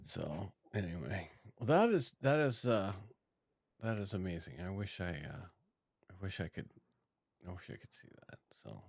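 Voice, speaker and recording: A middle-aged man chats on a podcast in a halting, hesitant way, his sentences often trailing off softly. His voice is very deep yet rises and falls a lot, in a dry, close recording. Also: big pitch swings, vocal fry, husky, dry recording. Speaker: podcast co-host. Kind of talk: casual chat.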